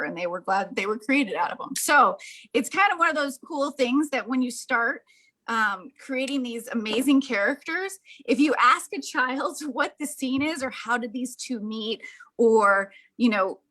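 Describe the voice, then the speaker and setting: A woman's voice: on the high side, bright, forceful tone, poor audio. An enthusiastic, gushing woman giving a casual, hands-on art demonstration.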